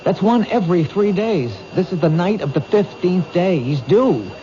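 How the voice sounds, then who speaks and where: A man's voice: sits high, expressive, normal speed, warm tone. A man playing an earnest, dogged police detective in 1970s radio drama, speaking with urgent insistence. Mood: intense, reasoning. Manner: urgent, insistent, reasoning things out aloud. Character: earnest, dogged male police detective protecting a threatened woman. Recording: noisy, dull 1970s radio recording.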